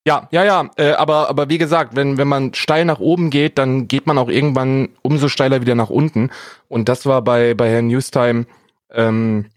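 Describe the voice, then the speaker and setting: A man's voice: mid-range voice, fairly even intonation, normal speed, no echo, studio quality. A man co-hosting a casual podcast, bantering in an ironic, slangy way. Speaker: podcast co-host.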